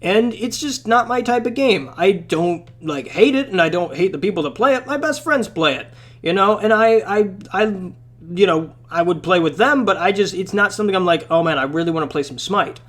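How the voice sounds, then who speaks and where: A man's voice: high voice, lively intonation, normal speed, fairly bright tone. A young man chatting casually about a mobile game, rambling with lots of fillers while his voice is going out. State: losing his voice.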